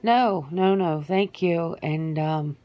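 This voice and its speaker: A woman playing a reluctant, sentimental female werewolf in a soft-spoken ASMR interview roleplay, speaking haltingly and repeating words. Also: fairly low pitch, lo-fi sound. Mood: earnest.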